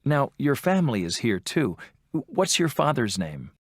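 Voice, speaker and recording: An adult voice playing an interviewer in a scripted textbook dialogue, asking friendly questions with measured delivery. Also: mid-range voice, sing-song, no room sound, clean studio sound. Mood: plain.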